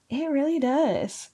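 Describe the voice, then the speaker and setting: A woman co-hosting a podcast in casual chat, slightly hoarse, laughing often and filling her talk with "like". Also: high-pitched, mellow. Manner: laughing, chatty, lots of "like" fillers. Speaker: podcast co-host.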